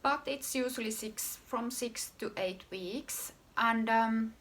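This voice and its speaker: A woman from Finland with a Finnish accent, hosting her own podcast about her knitwear design work in an unhurried, casual way. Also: fairly high pitch, a bit bright, a little hiss or hum. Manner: unhurried, pausing often with fillers and restarts. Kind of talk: casual solo podcast talk.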